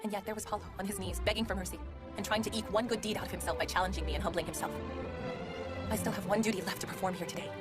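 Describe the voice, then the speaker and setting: A proud young noblewoman officer played by a woman in an anime dub, speaking formally and quickly over loud music. She sounds principled and declarative, with a mid-pitched voice. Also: steady tone, close to an everyday voice. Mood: solemn, resolute.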